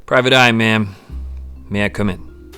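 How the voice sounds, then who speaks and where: A man's voice: lowish voice, steady tone, measured pace, pushed and bright. A man playing a hard-boiled, weary private eye in a noir radio play, with terse, sardonic tough-guy talk. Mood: cool, probing. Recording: music bed under voice, amateur audio.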